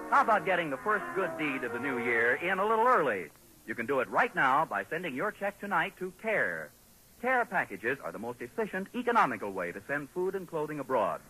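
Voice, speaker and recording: A man's voice: fairly high pitch, rough recording. An American man announcing on a scripted 1940s radio show, with a polished salesman's delivery, heard on a vintage broadcast.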